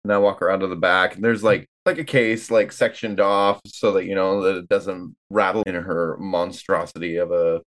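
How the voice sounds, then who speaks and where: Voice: deep voice, flat delivery, moderate pace, hardly any pauses. A player in a tabletop game podcast, chatting and improvising casually. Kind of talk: casual improvised role-play chat.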